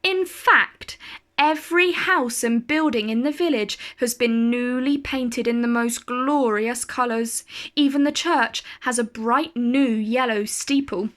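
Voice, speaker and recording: Female storyteller, higher-pitched voice, for an expressive picture-book read-aloud with deliberate, dramatic phrasing.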